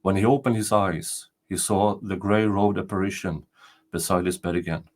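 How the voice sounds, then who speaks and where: An older man narrating a storybook tale aloud in a deliberate, dramatic way. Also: deep voice, fairly even intonation. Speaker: storyteller reading folktales.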